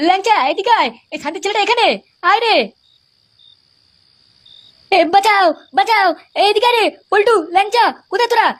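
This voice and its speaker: A performer voicing a foul-mouthed, hot-headed, excitable cartoon troublemaker, crude and blustering. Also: very high-pitched. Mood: scared. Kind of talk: comic cartoon dialogue. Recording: clearly noisy cartoon soundtrack.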